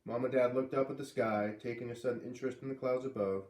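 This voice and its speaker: A man's voice: mid-range voice, steady tone, a little mellow. Man reading aloud in an echoey room. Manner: dramatic, emphatic pauses on key words. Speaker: read-aloud reader. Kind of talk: expressive children's book narration. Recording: echoey room, distant microphone.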